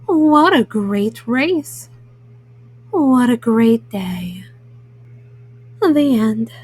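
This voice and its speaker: A woman reads a children's bedtime story in a gentle, motherly manner. Her voice sits a little high and has a husky grain. She takes her time, moving through the tale at a very unhurried pace. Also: expressive. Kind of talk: bedtime story read-aloud.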